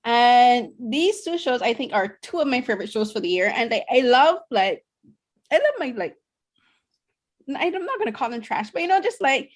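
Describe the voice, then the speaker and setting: A woman's voice: fairly high pitch, big pitch swings, normal speed. A young woman from Barbados co-hosting a podcast, chatting casually about shows she watched, with lots of fillers and restarts. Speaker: podcast co-host.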